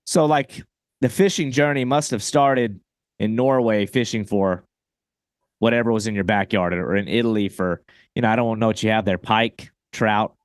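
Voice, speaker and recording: A man's voice: fairly low pitch, normal speed, no room sound. Man hosting a podcast, close dry sound. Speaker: podcast host. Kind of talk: conversational interview.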